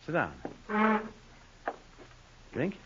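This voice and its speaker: An actor playing a bossy, guarded gambling-house boss with a terse, cagey manner in an old-time radio crime drama. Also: male voice, extremely slow, lo-fi sound, very noisy. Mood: smooth, guarded.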